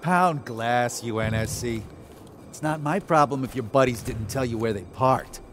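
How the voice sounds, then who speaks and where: A man's voice: medium pitch, normal speed, a bit bright, a little hiss or hum, close to an everyday voice. An actor playing a blunt, sarcastic male colonist scout.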